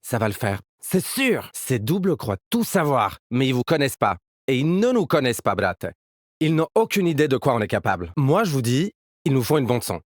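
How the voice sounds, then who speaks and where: A man's voice: medium pitch, very animated, moderate pace, no room sound, clean studio sound. A man playing an upbeat, cocky young musician fighter in a video game, with casual, playful team banter. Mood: playful, confident. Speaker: video game agent character. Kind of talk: game character voice lines.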